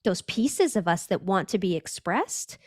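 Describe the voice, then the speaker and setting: A woman's voice: mid-range voice, very animated, measured pace, a little mellow, no room sound, clean studio sound. A woman from Northern California, a brand strategist talking as a podcast guest, thoughtful and searching, with frequent restarts. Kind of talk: reflective conversational interview.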